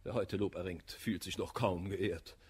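An actor playing a proud, blunt misanthropic gentleman in a classic verse radio comedy, declaiming with emphatic scorn. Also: low-pitched, fairly even intonation, a little slow, breathy edge, full character voice. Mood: scornful.

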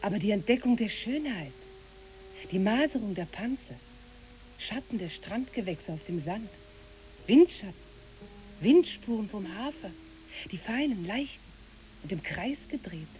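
A woman's voice: mid-range voice, lively intonation, unhurried, long pauses, warm tone, a little hiss or hum, lightly acted, rough recording. A woman playing a genteel, rapturous lady in a literary radio play, refined and effusive.

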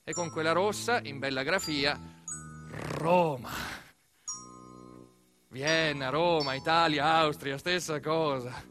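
Higher-voiced man telling a theatrical story. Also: slow pace, pauses often. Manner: dramatic, short phrases, as a boy recalling family. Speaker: actor-narrator. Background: music.

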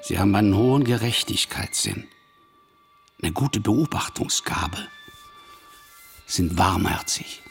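An actor playing a self-assured, probing palm-reading analyst who speaks in deliberate, authoritative pronouncements in a radio play. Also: male voice, low-pitched, animated, creaky, faint music behind, heavily acted. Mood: clinical pronouncing.

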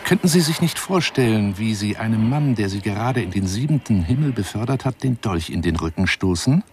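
An actor playing an eccentric, lovelorn and wounded lion hunter in a radio drama monologue, speaking on the telephone in a theatrical, florid, self-pitying manner. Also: male voice, lowish voice, expressive, fairly quick, darkish tone, a little hiss or hum, lightly acted. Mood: bitter, suspicious.

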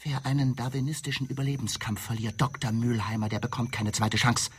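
An actor in a German radio drama playing a blunt, sardonic man who confronts others in a husky, accusing voice. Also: medium pitch, level tone, fairly quick, slight background noise, close to an everyday voice. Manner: confrontational, sarcastic. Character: blunt, sardonic male visitor, appalled and accusing.

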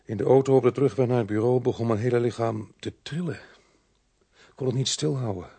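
Actor as sober, dutiful police inspector. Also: male voice, medium pitch, a little fast, mellow, lightly acted. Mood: shaken. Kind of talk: radio drama acting. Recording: slightly noisy old radio drama recording.

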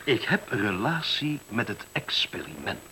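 A man's voice: on the low side, very animated, moderate pace, bright, forceful tone, heavily acted. An actor in a radio play voicing a smug, condescending male school director who talks glibly about efficiency and interrupts himself. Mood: dismissive, firm. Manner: condescending, glib, self-interrupting. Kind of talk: radio drama acting, conversational monologue. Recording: hissy, noisy old radio recording.

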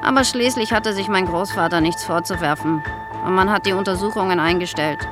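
A woman's voice: on the low side, fairly quick, over loud music, close to an everyday voice. An actress playing an older East German woman in a radio drama, recollecting in a chatty, self-justifying, insistent way. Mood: aggrieved. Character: older East German woman, wounded, defensive photographer's granddaughter.

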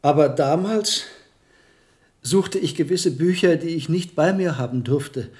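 An actor playing an earnest, musing monastery herbalist in a German radio play, speaking in a deliberate, thoughtful way. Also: male voice, on the high side, a little mellow, lightly acted. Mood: animated.

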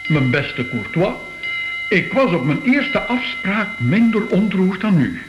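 Radio drama role: excitable, superstitious art expert, unhurried and full of wonder, very animated melody, slightly hissy old recording. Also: male voice, high voice, dull audio, full character voice. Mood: curious.

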